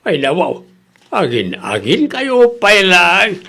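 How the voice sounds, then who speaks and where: A man's voice: sits high, wildly swooping pitch, takes it slowly, sharp tone, husky, exaggerated voice. A man playing a stubborn, defiant father with an Ilocano accent. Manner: defiant, argumentative. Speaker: father in a family quarrel. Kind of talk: radio drama acting.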